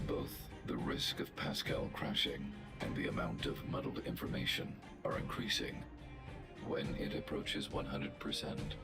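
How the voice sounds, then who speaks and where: An actor playing a huge, logical male robot guardian in a video game, with a flat, precise, mechanical delivery. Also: rumbling low, creaky. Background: game music.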